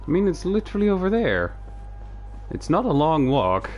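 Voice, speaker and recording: A man's voice: a little high, very animated, normal speed, darkish tone, highs cut off, a little hiss or hum. A man casually commentating a game livestream and reading dialogue aloud, hesitant and rambling, with a dryly joking touch. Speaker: video game streamer. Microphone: close streaming microphone. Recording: game music behind the voice.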